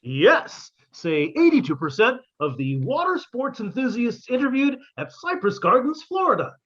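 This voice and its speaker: A performer voicing an upbeat 1950s radio advertisement announcer with an emphatic sales pitch. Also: very animated, moderate pace, husky. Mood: salesy. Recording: dull lo-fi audio.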